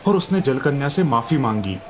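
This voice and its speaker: A man's voice: mid-range voice, very animated, moderate pace, a little hiss or hum. A man narrating a scripted moral story as a storyteller.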